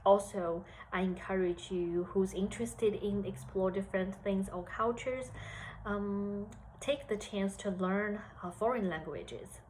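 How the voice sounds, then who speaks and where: A woman in her thirties from China with a Chinese accent, giving career advice at a measured pace with frequent pauses and fillers. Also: mid-range voice, a little mellow, slight background noise, rough recording. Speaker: senior HR analyst. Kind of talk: career advice talk to students.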